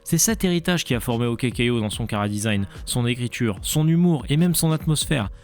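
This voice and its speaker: A man's voice: medium pitch, animated, a little fast. A young adult man delivering scripted narration as the narrator of a cartoon review show. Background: background music.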